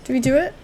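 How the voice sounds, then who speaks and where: A woman's voice: high voice, background noise. A young adult woman giving chatty, casual gameplay commentary as she plays. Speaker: gameplay streamer.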